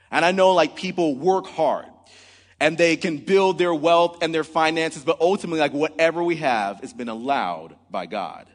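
A man's voice: on the high side, long reverb tail. A man in his forties preaching a sermon in earnest, often opening with "man" or "look". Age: 40s. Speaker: pastor.

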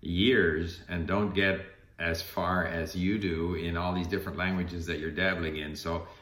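An older man speaks in a casual conversational way. His voice sits on the low side yet has a bright, forceful edge. The room adds a noticeable echo. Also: fairly even intonation, unhurried. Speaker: language learner and YouTuber.

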